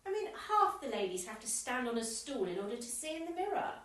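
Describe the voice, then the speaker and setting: A gossipy committee woman with a complaint to air, acted by a woman in a radio comedy. Her high voice is lively and arch, set in a very echoey room. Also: fast, distant mic. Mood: complaining. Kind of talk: amateur radio comedy play acting. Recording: very echoey, off the mic.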